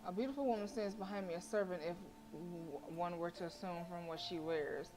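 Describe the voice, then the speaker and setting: A woman game streamer reading visual novel narration aloud, expressive, with casual blunt reactions. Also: fairly low pitch, a little fast, music in the background.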